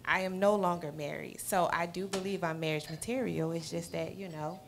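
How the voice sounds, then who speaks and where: A woman's voice: on the low side, fairly even intonation, fairly quick, gravelly, a little hiss or hum. A woman actress earnestly describing her role in a casual interview, speaking into a handheld mic in a restaurant. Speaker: stage play actress. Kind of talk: interview answers. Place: restaurant with wood-paneled walls.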